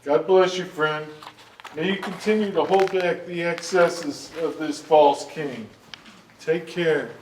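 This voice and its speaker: A man's voice: fairly high pitch, slow pace, a little hiss or hum. A man playing a loyal, devoted royal storyteller in a staged reading of a stage musical. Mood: warm, reverent. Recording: echoey stage, distant mic, faint music.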